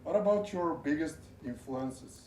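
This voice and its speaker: A man's voice: on the high side, expressive, a little slow, a little mellow, recorded from a distance. A man interviewing a musician, asking short questions with hesitant restarts. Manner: brief reactions, hesitant restarts. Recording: echoey, from off-mic.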